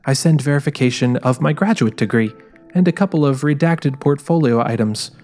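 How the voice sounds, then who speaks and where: Guy playing a guarded lady detective narrating her own story, measured noir-ish audio drama, soft music behind. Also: mid-range voice, lightly acted. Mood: guarded, reflective. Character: female ex-private eye narrating her guarded, driven job hunt. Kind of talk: first-person dramatized scripted narration. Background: quiet music.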